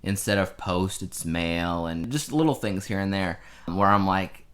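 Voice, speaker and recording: Deep-voiced man chatting as co-host. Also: a little slow, fairly bright tone. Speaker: podcast co-host. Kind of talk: casual chat.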